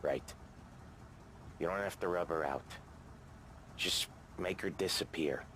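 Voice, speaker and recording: An actor playing a gruff but soft-hearted American gangster in a dramatic video game scene. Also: male voice, low voice, takes it slowly, slight background noise. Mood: earnest, pleading.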